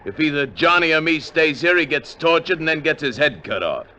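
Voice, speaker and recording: A man's voice: fairly high pitch, animated, a little fast, pushed and bright. An American man playing a tough, terse, commanding and bitter armed adventurer in 1950s radio drama style. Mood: grimly resolved. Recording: noisy vintage 1950 radio recording.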